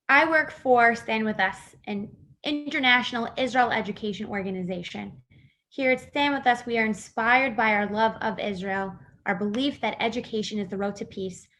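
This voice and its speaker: A young woman speaks in a fairly high voice. She gives a prepared, earnest talk about her family's history as a guest speaker. The sound comes through a video call. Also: a bit bright. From Brooklyn, New York. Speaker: guest speaker, Israel education organization staffer.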